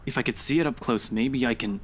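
A man's voice: medium pitch, a little fast, husky, lightly acted. An actor playing a skeptical, know-it-all young man in a visual novel, blunt and argumentative. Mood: dismissive. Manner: dismissive, argumentative.

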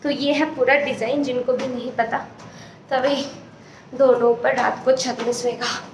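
Casting: female vlogger in her 20s, high voice, slow-paced, informal and chatty, phone recording at home. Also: level tone, a little hiss or hum, low-quality recording. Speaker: vlogger and gaming streamer. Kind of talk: casual vlog chat mixing Hindi and English. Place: small rooms at home. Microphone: phone held at arm's length.

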